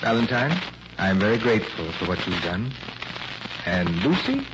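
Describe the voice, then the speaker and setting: Male lawyer, fairly low voice, formal, businesslike, old-time radio drama, heavy background noise. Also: sing-song, moderate pace, highs cut off. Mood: grateful. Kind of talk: 1940s radio drama acting. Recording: very noisy vintage radio recording.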